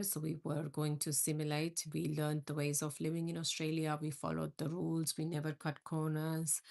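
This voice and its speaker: A woman's voice: sits low, creaky. A middle-aged woman from India hosting a live stream, chatting with viewers and answering their comments. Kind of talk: casual live-stream chat.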